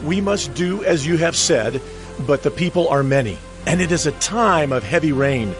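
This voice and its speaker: A man's voice: fairly high pitch, expressive, moderate pace, music in the background, lightly acted. An actor playing a contrite Israelite spokesman in a dramatized audio Bible, earnest and declamatory. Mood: contrite, pleading. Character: contrite Israelite spokesman pleading for his assembly.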